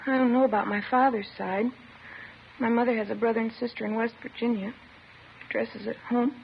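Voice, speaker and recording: An actress playing a shaken, bitter teenage girl in a 1950s radio drama, halting and subdued. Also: a little high, fairly even intonation, vocal fry. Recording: muffled, slightly noisy old radio recording.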